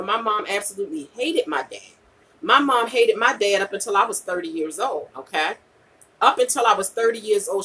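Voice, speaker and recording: A woman's voice: medium pitch, fairly bright tone, husky, recorded from a distance, poor audio. A woman in her late forties giving candid, emphatic advice in a casual, slangy livestream chat, repeating her points for weight. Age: late 40s. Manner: emphatic and repetitive, punctuated with "okay?" and "you know". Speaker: vlogger and podcaster chatting live with viewers.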